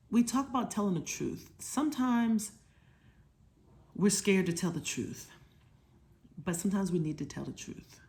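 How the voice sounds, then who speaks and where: A woman with a slightly low voice leads an informal lesson for children. She explains things in simple, conversational language. Like a teacher, she often poses questions and checks that her young listeners understand. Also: darkish tone. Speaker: children's storytime host.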